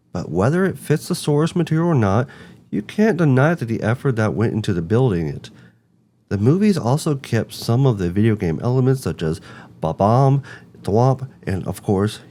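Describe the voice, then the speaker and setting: Low-voiced man hosting a scripted review. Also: mellow, slight background noise. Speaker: host of a video game movie review show.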